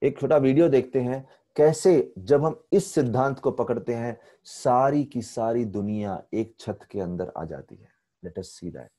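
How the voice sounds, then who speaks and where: Indian male spiritual teacher in his 40s, mellow tone, lively storyteller, mixes English into Hindi, earphone mic. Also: medium pitch, a little slow. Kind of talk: online spiritual lecture. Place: temple room indoors. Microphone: earphone headset mic on video call.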